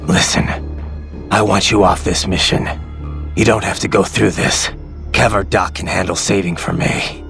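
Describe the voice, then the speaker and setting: An actor playing a grim, weary, duty-bound cyborg soldier in a video game, with a gravelly voice and brooding, terse manner. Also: male voice, sits low, steady tone, moderate pace, a bit bright, soft background music. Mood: bitter, brooding.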